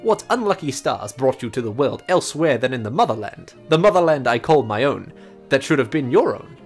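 A snooty, roguish French gentleman thief, as a man reads him out, grand and full of himself. The delivery is lively and swings in pitch. Faint music plays behind. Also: a little fast. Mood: haughty. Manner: grandiose, mock-French reading of a letter. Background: game music.

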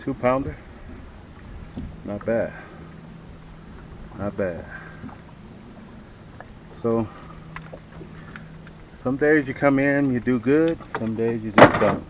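A man's voice: medium pitch, extremely slow, long pauses, lo-fi sound. A middle-aged American man chatting casually to camera in a laid-back way, often repeating himself, as a fishing vlogger. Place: outdoors on a fishing boat on a lake. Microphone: camera mic, close then several feet away. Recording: clearly noisy outdoor boat recording. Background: boat motor and outdoor noise.

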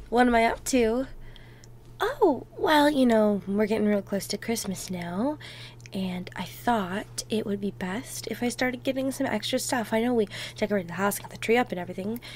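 A woman playing an affectionate, playful girlfriend in an ASMR roleplay, talking softly and intimately, sometimes close to a whisper. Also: fairly high pitch, animated, a little hiss or hum, lightly acted. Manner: soft, affectionate, unhurried, dropping into near-whispers.

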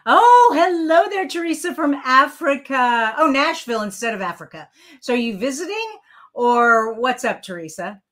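Older lady doing a live card craft stream, bright voice, chatty, fixing her own slips as she goes. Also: sits high, sing-song, normal speed. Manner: chatty, self-correcting, reads viewer comments aloud. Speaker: card-making host. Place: craft room at a desk.

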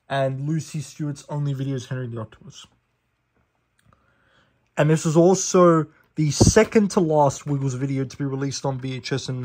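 A man's voice: a little high, a little mellow. Chatty young man reviewing. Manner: fact-listing, self-correcting, chatty with fillers. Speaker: DVD collector reviewing a disc. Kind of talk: casual collector review. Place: small living room indoors. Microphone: phone camera held over the disc.

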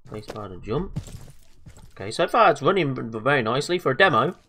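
A man's voice: medium pitch, very animated, brisk, sharp tone, slight background noise. A man with a slightly stuffed-up voice from a cold, hosting casual let's-play commentary in a chatty, hesitant way. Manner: chatty, hesitant, lots of fillers and self-talk. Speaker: gaming video host.

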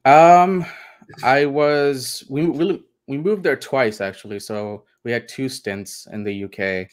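A man from India, a guest on a video call interview from home, speaking in a relaxed, thoughtful way with lots of hedges. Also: medium pitch, slow. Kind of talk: casual interview.

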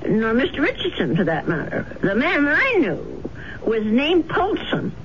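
Fretful old landlady for radio play; earnest, halting, on a dull, noisy vintage recording. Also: fairly high pitch, wildly swooping pitch. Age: older. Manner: hesitant, earnest. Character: elderly, troubled, earnest landlady and widow. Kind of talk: radio drama acting.

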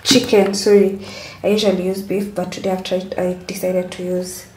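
A woman's voice: fairly low pitch, little pitch movement, normal speed. A young woman in her twenties chatting casually in a vlog, listing things with fillers and small self-corrections. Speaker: vlogger. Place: small indoor room at a table. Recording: echoey room with clear background noise.

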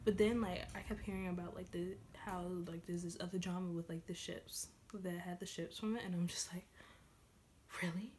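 A woman in her twenties with a slightly low voice talks casually to camera in a reaction vlog. She is gushing and excited, with halting phrases peppered with "like". The recording was made in a room at home with some background noise. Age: 20s. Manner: halting, repeats "like" heavily. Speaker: reaction video host. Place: small room at home, indoors.

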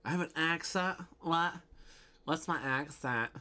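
A man's voice: fairly high pitch, a little slow, sharp tone. A young man with a Midwestern accent, chatting loosely on a livestream from his bedroom and answering viewer comments, with lots of ums and casual swearing. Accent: Midwestern American. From American Midwest. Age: young adult. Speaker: toy unboxing livestream host. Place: bedroom, indoors.

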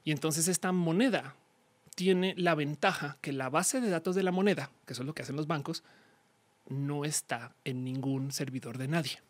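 A woman in her thirties with a Colombian accent, a podcast guest explaining things casually with slang and acted-out exchanges, on a studio boom mic. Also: moderate pace, no room sound. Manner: animated, slangy, acts out quoted exchanges. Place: small indoor studio. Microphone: studio mic on boom arm, headphones.

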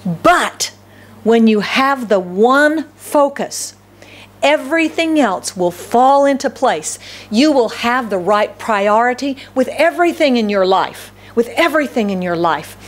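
A woman's voice: on the high side, expressive, unhurried. Emphatic middle-aged American woman preaching. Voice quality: loud voice. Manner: emphatic, repeats key phrases, asks the congregation questions. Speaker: pastor. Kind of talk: sermon. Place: church hall stage at a podium. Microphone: podium microphone.

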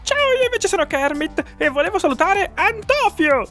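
A man voicing an excitable cartoon frog giving a cheery shout-out. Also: extremely high pitch, normal speed, soft background music, heavily acted.

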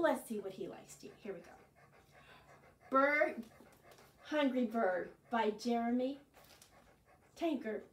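An older woman in her sixties leads storytime for young children in a high, expressive voice. She takes her time, slipping between questions, chanting and reading aloud. She is picked up from across a room, with a roomy echo. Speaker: library children's storytime presenter. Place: small furnished living room, indoors. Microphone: camera a few metres away. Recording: roomy, distant camera microphone.

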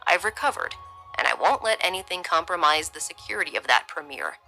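Woman doing a worn-out middle-aged security boss, low and hushed, with faint music behind. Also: very animated, fairly quick, heavily acted, poor audio. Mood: weary. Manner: tired, subdued, half-whispered. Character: weary middle-aged male security chief.

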